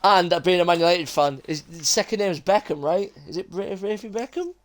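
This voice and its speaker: A man's voice: high voice, lively intonation, brisk. Chatty young man hosting a stream. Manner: chatty, laughs a lot, jokey. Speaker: post-race interviewer for a sim racing league stream. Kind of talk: casual live-stream interview chat.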